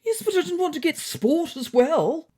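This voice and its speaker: A woman puts on a grumbling little cat for a comedy audiobook-style podcast. The voice jumps high and sounds put-upon and easily offended. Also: lively intonation, moderate pace, mellow. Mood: grumbling, indignant. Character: grumbling, easily hurt cat, gloomy comic sidekick.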